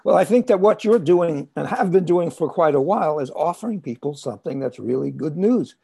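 Male podcast host, earnest and measured, husky gravelly voice, dry close sound. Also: on the high side, expressive, warm tone, no room sound. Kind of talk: scripted intro, then interview.

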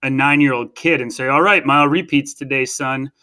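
A man's voice: medium pitch, steady tone, brisk, sharp tone. A man co-hosting an interview, chatting in a talkative, opinionated way and explaining his points at length. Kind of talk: casual interview chat.

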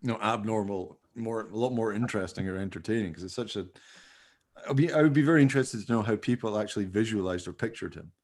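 A man's voice: fairly low pitch, quick pace, darkish tone. A man chatting casually and in a rambling way as a podcast host. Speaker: podcast host and radio play maker. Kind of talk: casual chat.

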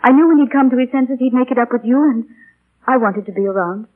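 A woman's voice: a little high, quick pace, lightly acted. An actress plays a proud, tender young woman who is hopelessly in love. Her delivery is wistful and theatrical, in the manner of 1940s radio acting. The sound is that of a thin, narrow old broadcast. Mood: wry, resigned. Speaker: radio actor. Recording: thin, band-limited 1940 radio broadcast.

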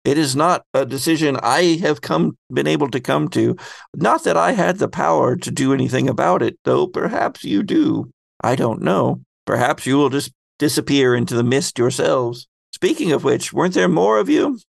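A man voicing a secretive librarian woman, torn and in hiding, who speaks formally and in measured phrases in a tabletop game. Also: medium pitch, animated, vocal fry, dry recording. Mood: torn, reflective.

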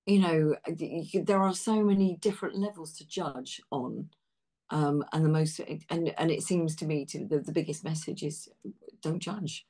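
A woman's voice: low-pitched, normal speed, mellow, breathy edge. A woman interview guest speaking reflectively and emphatically, often repeating phrases for weight. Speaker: interview guest, theatre practitioner. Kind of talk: conversational reflection.

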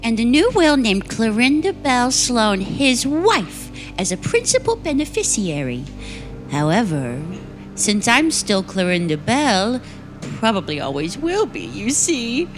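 A woman's voice: on the high side, very animated, measured pace, soft background music, pitched below the performer's own voice. A woman playing a composed, evasive fiancée under suspicion in a comedic radio murder mystery. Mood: cool, theatrical. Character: coolly dramatic bereaved fiancée suspected in radio murder mystery. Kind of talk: improvised radio play parody.

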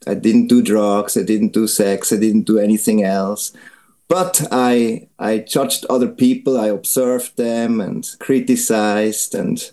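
Mellow-voiced Swiss man sharing his testimony. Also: lowish voice, flat delivery, unhurried. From Switzerland. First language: Swiss German. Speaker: missionary guest. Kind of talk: interview, personal testimony.

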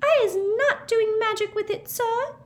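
A woman voicing a frightened female house-elf with a squeaky voice, pleading in broken, stammering speech. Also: measured pace. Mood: terrified pleading.

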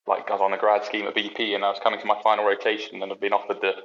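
Young UK man, chatty podcast guest, low voice, rambling and self-deprecating, muffled lo-fi recording. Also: completely flat delivery, racing, a bit bright. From United Kingdom. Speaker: podcast guest, racing engineer. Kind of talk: casual chat.

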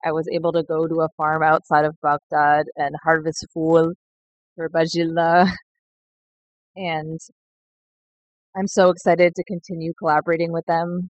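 Young woman talking low and slow, reflective, pretty flat delivery, dry close sound. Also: no echo, rough recording. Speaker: seed collective member. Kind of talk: recorded personal reflection.

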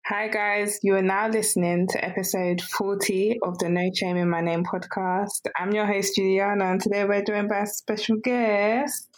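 A woman's voice: medium pitch, steady tone, normal speed, hardly any pauses. A woman hosting an interview podcast, asking friendly, curious questions. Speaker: podcast host.

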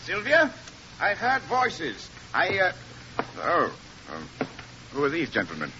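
A muffled, faintly noisy old radio play presents a tormented, imperious doctor. His delivery is theatrical and volatile, leaping dramatically up and down in pitch. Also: wildly swooping pitch, takes it slowly, full character voice. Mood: theatrical welcome. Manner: imperious, theatrical, abrupt swings. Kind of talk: melodramatic old-time radio acting.

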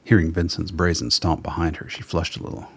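A man's voice: sits low, a little fast, breathy edge. A man narrating a scripted story for a radio play.

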